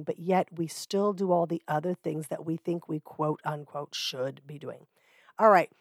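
A middle-aged woman hosting her own podcast, talking casually and candidly, her voice hoarse and gravelly from a cold, laughing easily. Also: lowish voice, dry recording, clean studio sound. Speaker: podcast host. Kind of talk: solo podcast talk.

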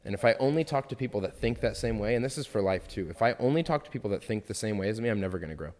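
A man's voice: fairly low pitch, lively intonation, racing, a little mellow, big-room sound. A young man who has graduated in architecture, speaking as a podcast guest in casual, earnest chat that rambles and leans on filler words. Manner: rambling, earnest, heavy use of "like".

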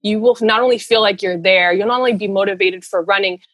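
A woman's voice: medium pitch, fairly even intonation, quick pace, a bit bright, no room sound. A woman speaking casually as an interview guest, earnest and rambling, in a giggly conversational way. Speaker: interview guest, runner and storyteller.

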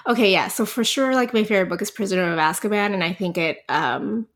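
A woman's voice: medium pitch, quick pace. Chatty woman from Puerto Rico. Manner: chatty, laughs often, many ums. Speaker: podcast guest. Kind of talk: casual interview chat.

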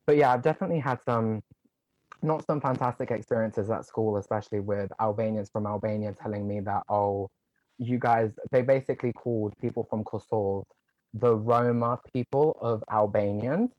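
A man's voice: fairly low pitch, darkish tone, no room sound. A young man, a guest on an interview, talking earnestly and casually with many fillers. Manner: earnest, talks in quick runs with many "like" fillers. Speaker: guest, online content creator. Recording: slightly dull, muffled sound.

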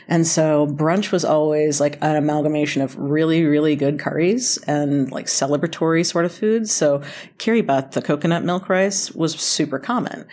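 Low-voiced American woman, chatty and informal. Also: a little mellow, husky. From North Carolina, United States. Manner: chatty, self-deprecating, informal. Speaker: chef, interview guest. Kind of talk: casual conversational storytelling.

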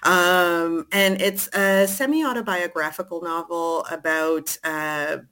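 A woman whose first language is French, co-hosting a podcast and speaking in a wry, measured way with hesitant uhs. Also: on the low side, pushed and bright. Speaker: podcast co-host. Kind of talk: casual book recommendation chat.

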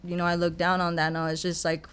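A woman's voice: fairly low pitch, completely flat delivery, fast talker, fairly bright tone, smooth. A young woman from Mexico, a rapper, talking earnestly and humbly as a podcast guest, often repeating her words. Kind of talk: casual podcast conversation. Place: podcast studio.

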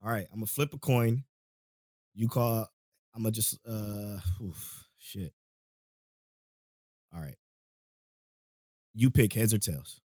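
Male podcast host, lowish voice, relaxed and rambling, slightly worn from a cold, dry close sound. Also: takes it slowly, long pauses, slightly rough, no room sound. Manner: laid-back, rambling, laughs often. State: voice going, catching a cold. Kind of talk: casual podcast chat.

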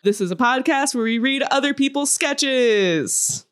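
A woman's voice: high voice, expressive, measured pace, fairly bright tone. A woman co-hosting a comedy podcast in a casual, chatty style. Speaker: podcast co-host.

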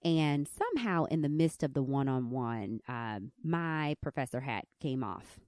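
A woman in her thirties hosts her own podcast with a country accent and a squeaky edge, her low, mellow voice swinging widely in pitch. She tells a personal story candidly, laughing now and then. The recording is dry and close. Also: lively intonation, unhurried, no room sound. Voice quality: squeaky, high voice. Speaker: podcast host. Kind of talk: personal reflective podcast monologue.

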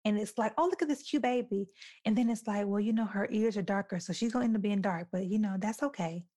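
A young adult woman talking candidly on a podcast, telling stories in an animated, emphatic way. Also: mid-range voice, fast talker.

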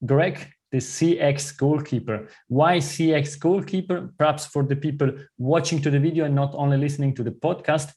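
A man's voice: fairly high pitch, moderate pace, few pauses. A man from southern Switzerland whose first language is Italian, speaking English with an Italian-Swiss accent as an earnest podcast guest. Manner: earnest, warm, slightly non-native phrasing. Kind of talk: conversational interview.

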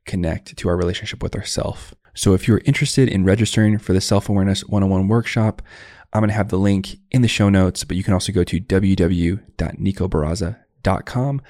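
A man's voice: sits low, fairly even intonation, a little fast, darkish tone, no room sound, clean studio sound. A young man hosting a podcast, reading his own workshop promotion and then eagerly welcoming a guest over a remote call. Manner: enthusiastic, effusive praise. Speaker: podcast host. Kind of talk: podcast ad read and interview.

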